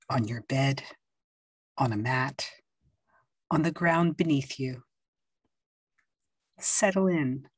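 Woman meditation guide, low and breathy, unhurried soothing delivery, dry close sound. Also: no echo. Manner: slow, soft and soothing, with long pauses and gentle instructions. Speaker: yoga nidra teacher.

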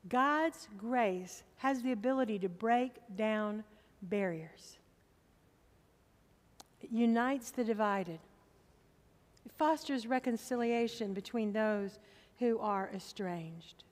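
A middle-aged woman pastor preaching a sermon from the pulpit of a church, with slow, emphatic appeals to the congregation. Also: fairly high pitch, in a large hall.

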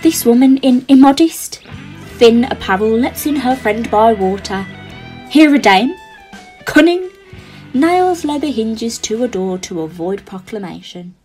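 A woman's voice: a little high, expressive, unhurried, a little mellow. Woman as ominous narrator over plucked guitar. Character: dark, sardonic narrator of night-time sins. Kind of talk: Jacobean verse audio drama narration. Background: plucked guitar music.

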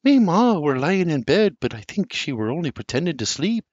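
Guy doing a high soft young bride voice, sweet and earnest, muffled dry sound. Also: big pitch swings, normal speed, dry recording. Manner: high put-on voice, dialect speech. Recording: dull lo-fi dry recording.

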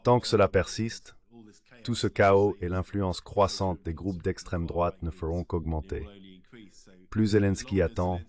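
A man's voice: low-pitched, fairly even intonation, measured pace, darkish tone. A man's synthetic voice-over reading an interview guest's translated answers in a scripted way.

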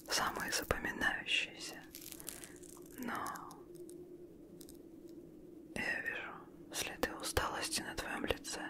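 Slow, whispering female vampire played by a woman. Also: natural voice. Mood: soothing, caring. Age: young adult. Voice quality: soft breathy whisper. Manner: slow, soothing, gentle. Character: caring Victorian female vampire, elder mentor to a fledgling. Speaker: ASMR performer. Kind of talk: whispered ASMR roleplay. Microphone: close microphone.

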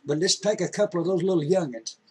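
A man's voice: sits high, quick pace, mellow, husky. A man voicing a patient, remorseful old grandfather, speaking tenderly and repeating his words. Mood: gentle.

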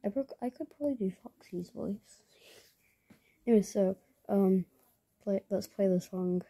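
A woman's voice: mid-range voice, a little slow, mellow. A young woman, chatting casually in her bedroom at night while showing plush toys to a handheld camera, rambling with many fillers and dropping into whispers. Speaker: vlogger.

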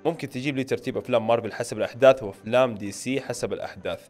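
A young Saudi man with a Hejazi accent talks very quickly in a mid-range voice. He addresses his audience directly and informally, reading questions and answering them on a close microphone, with music playing underneath. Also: mid-range voice, darkish tone. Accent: Saudi Hejazi Arabic. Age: late 20s. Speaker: film and series YouTuber. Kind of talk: casual Q&A to camera, reading viewer questions aloud and answering. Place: small indoor home studio. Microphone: microphone on a stand close in front.

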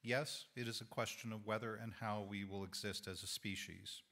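Man, 60s, guest speaker, prepared sermon, somewhat low voice, measured and earnest, lapel mic, indoor hall. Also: steady tone, creaky, sharp tone.

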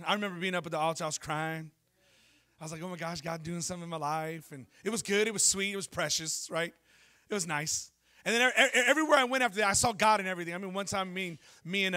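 A man in his thirties preaching a sermon on a church stage into a handheld mic, telling lively stories and asking the congregation questions. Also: sits high. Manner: animated storytelling preacher, jokes and asks the crowd questions. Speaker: pastor.

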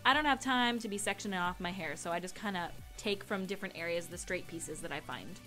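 A woman's voice: fairly low pitch, fairly quick, fairly bright tone. Chatty woman over music. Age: young adult. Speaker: beauty vlogger. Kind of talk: casual tutorial voice-over. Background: background music.

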